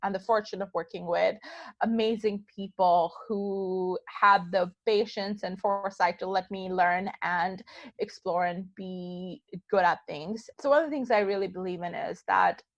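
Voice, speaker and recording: A woman from Pakistan, talking as an interview guest in a lively, thinking-aloud way with fillers. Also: medium pitch, a little slow, no room sound. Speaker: guest, tech founder. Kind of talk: casual interview talk. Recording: slightly dull lo-fi sound.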